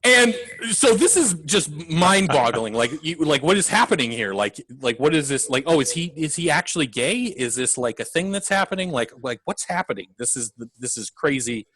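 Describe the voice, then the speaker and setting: A man's voice: on the high side, very animated, normal speed, hardly any pauses, fairly bright tone, rough recording. A man co-hosting a film podcast, talking casually and rambling with many fillers. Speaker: podcast co-host. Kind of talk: casual film review chat.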